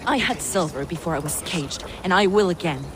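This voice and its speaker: A woman's voice: fairly low pitch, expressive, brisk, lightly acted. Woman playing a fierce warrior woman over noisy ambience. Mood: assertive, persuasive. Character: fierce, silver-tongued warrior woman, boldly confident. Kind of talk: video game acting.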